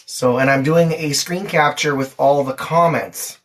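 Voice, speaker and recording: Really deep-voiced woman just chatting on her livestream, casual host talk. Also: fairly even intonation, a little slow.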